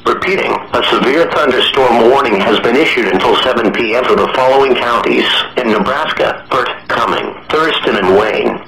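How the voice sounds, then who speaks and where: A synthetic male voice reading formal emergency weather alerts over the radio in a measured, impersonal way. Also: mid-range voice, expressive, crowd noise. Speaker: automated weather radio announcer. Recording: echoey, noisy weather radio broadcast with clicks.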